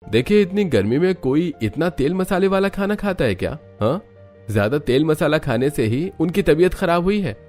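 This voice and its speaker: Man playing higher-voiced scolding cartoon man. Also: very animated, moderate pace, a little mellow, faint music behind. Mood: reproachful. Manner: scolding, questioning. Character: adult man in family cartoon, reproachful and concerned. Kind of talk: Hindi cartoon family drama acting.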